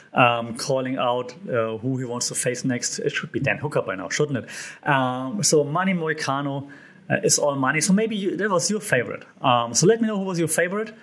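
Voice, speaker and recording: A man hosting a solo podcast, talking in a casual, chatty way with lots of fillers and restarts, his voice a bit hoarse. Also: medium pitch. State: slightly hoarse. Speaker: podcast host.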